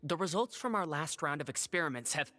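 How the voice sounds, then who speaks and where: An actor playing a skeptical, dutiful research consultant in a video game. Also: male voice, fairly high pitch, animated, fairly quick, pushed and bright, in a large hall. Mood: skeptical, dry. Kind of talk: animated game voice acting.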